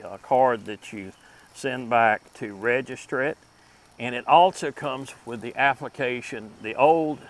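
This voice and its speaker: Man giving a halting, casual show-and-tell. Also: mid-range voice, fairly bright tone. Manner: halting, thinking aloud with pauses. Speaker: host reviewing vintage radio collectibles.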